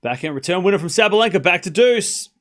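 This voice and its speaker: A man's voice: high voice, expressive, quick pace, a bit bright. Man with a mild Australian accent, livestream commentary. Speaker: livestream watchalong host and commentator. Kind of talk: live tennis commentary mixed with casual chat reading viewer comments.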